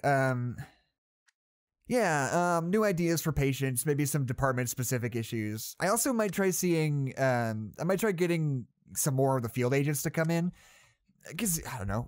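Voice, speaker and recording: A man playing an anxious, well-meaning head of psychology in an audio drama, halting and correcting himself as he tries to stay upbeat. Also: mid-range voice, lively intonation, dry recording, studio quality, natural voice. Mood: shaken, rambling. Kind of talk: naturalistic audio drama monologue, spoken log.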